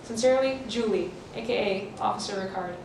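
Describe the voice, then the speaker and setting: An actress playing a firm, world-weary police officer in a radio thriller, measured and official. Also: female voice, medium pitch, natural voice. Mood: measured advice. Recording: very echoey, distant, noisy recording.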